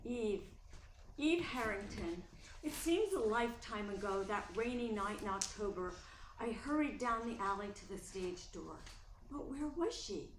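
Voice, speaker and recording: A woman playing a brisk, warm-hearted, easily exasperated playwright's wife in a radio play, with emphatic delivery. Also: medium pitch, close to an everyday voice. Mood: wistful narration. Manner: animated, emphatic. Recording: echoey room, faint noise.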